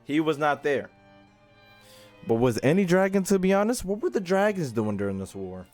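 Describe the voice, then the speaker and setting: A man's voice: on the high side, very animated, moderate pace, darkish tone, soft background music. A young man chatting casually as a game streamer, informal and slangy, rambling through his commentary. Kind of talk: casual livestream chat and commentary.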